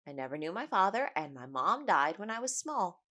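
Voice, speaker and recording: A woman's voice: on the low side, big pitch swings, a little fast, sharp tone. Confused captive woman, swinging intonation. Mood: guarded, questioning. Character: confused, distressed captive young woman with lost memory. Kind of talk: audio drama acting.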